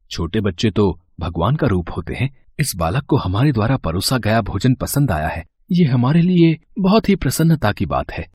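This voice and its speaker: A man voicing a pious, gentle husband who reasons earnestly, in a storybook tale. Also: mid-range voice, breathy edge, dry recording. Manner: earnest, persuasive.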